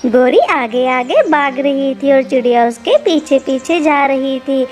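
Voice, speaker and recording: A woman reading scripted narration for a children's animated story. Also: high-pitched, unhurried, faint music behind.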